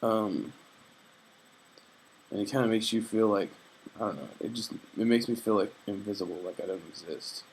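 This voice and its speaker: A man's voice: on the low side, moderate pace, long pauses, low-quality recording. A young man recording a video diary, talking in a hesitant, reflective and earnest way, full of fillers and restarts, homesick. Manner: earnest, faith-minded. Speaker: vlogger. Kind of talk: casual personal video diary.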